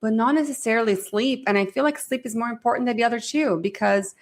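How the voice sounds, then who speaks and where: A woman hosting a podcast, asking a guest prepared questions in a measured way and adding her own comments. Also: on the high side. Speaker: podcast host.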